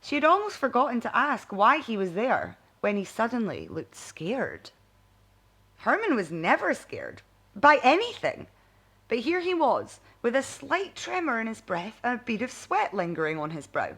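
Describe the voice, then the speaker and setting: A woman narrating a scripted bedtime story in an expressive audiobook style. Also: on the high side, normal speed, slightly rough.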